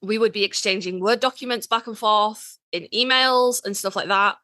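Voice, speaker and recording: A woman's voice: medium pitch, normal speed, bright, forceful tone, no echo, studio quality. A woman in a casual podcast discussion, speaking with wry, self-deprecating humour.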